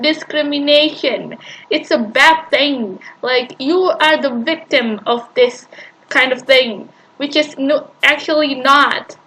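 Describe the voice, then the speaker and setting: A woman's voice: high-pitched, a bit bright, poor audio. A woman reacting to a video she is playing, arguing back at it in an exasperated, rambling way with sighs and restarts.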